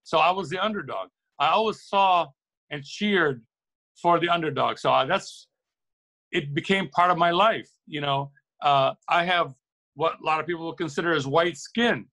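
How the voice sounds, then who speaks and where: A man's voice: a little high, normal speed, pushed and bright, low-quality recording. A middle-aged man from Lebanon speaking earnestly and reflectively as an interview guest, often repeating and rephrasing words for emphasis. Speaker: interview guest, record shop owner. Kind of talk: reflective, opinionated interview talk.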